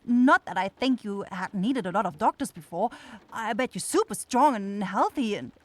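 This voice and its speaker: A woman's voice: medium pitch, lively intonation, moderate pace, dry recording, close to an everyday voice. A woman voicing a caring young forest witch in a fantasy ASMR roleplay, nervous, rambling and apologetic. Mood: shy, flustered.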